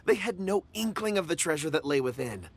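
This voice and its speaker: An actor playing a courteous, eager young gem enthusiast in a video game, speaking politely, earnestly and eloquently. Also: male voice, on the high side, expressive, fairly quick, breathy edge. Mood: enthusiastic.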